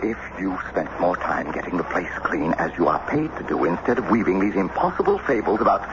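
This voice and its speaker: Stuffy stubborn statesman in old radio drama, lowish voice, very animated and speechy, muffled hissy audio. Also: fairly quick. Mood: indignant. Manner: oratorical, indignant, formal. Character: pompous, stubborn, rational American statesman husband. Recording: noisy, band-limited old radio broadcast.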